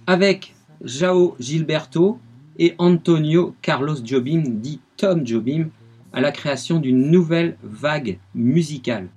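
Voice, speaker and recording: A man's voice: fairly high pitch, darkish tone, breathy edge. A man giving a radio music history segment, telling the story with enthusiasm.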